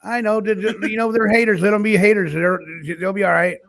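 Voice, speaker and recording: A man hosting a live stream, chatting loosely and loudly, coaxing his guests and repeating himself. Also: high voice, little pitch movement, brisk, creaky. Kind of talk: casual live stream chat.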